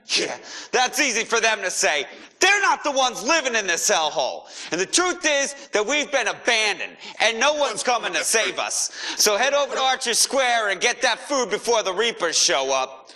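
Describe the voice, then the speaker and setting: A man's voice: very high-pitched, sharp tone, exaggerated voice. A man with an American accent voicing a fiery, angry and defiant underground broadcaster in a video game, speaking in an emphatic, streetwise, preachy way. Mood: bitter, urgent. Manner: emphatic, streetwise, preachy, swings between outrage and gravity. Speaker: pirate broadcaster. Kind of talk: video game voice acting, pirate broadcast monologue.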